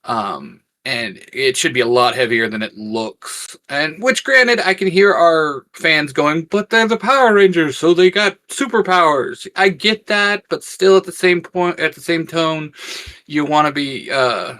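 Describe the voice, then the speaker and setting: Bright-voiced man with higher pitch. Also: unhurried. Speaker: co-host of a fan discussion show. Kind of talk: casual geeky review chat.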